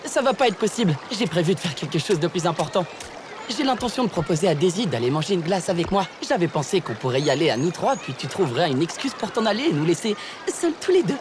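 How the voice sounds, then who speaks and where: A woman's voice: on the low side, big pitch swings, quick pace, background noise. A woman voicing an awkward, lovestruck young cartoon boy with a hesitant way of talking. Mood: awkward, hopeful. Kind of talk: French cartoon dub.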